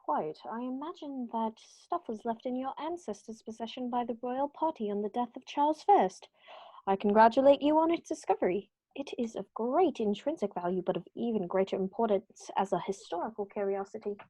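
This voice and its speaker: A woman playing a calm, superior male detective who speaks in a terse, measured, authoritative way, in 1930s-style radio drama acting. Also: fairly high pitch, dry recording, poor audio. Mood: composed.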